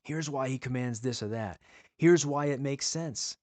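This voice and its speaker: A man giving an earnest, questioning sermon to viewers, slowing down to stress key words. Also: mid-range voice, darkish tone, no echo. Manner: earnest, questioning, slows for emphasis.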